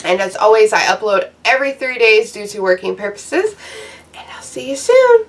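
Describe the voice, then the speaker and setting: A woman's voice: mid-range voice, very animated, moderate pace, far from the mic. A woman in her thirties giving casual, blunt, profane advice to her viewers in a loose, rambling vlog rant. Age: 30s. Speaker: YouTuber.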